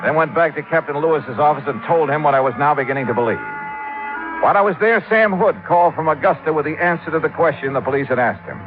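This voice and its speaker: An actor playing a calm, wry American private investigator in a 1950s radio drama, dry and understated in manner. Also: male voice, on the high side, fast talker, narrow, tinny audio, natural voice. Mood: matter-of-fact narration. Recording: band-limited old radio recording with music.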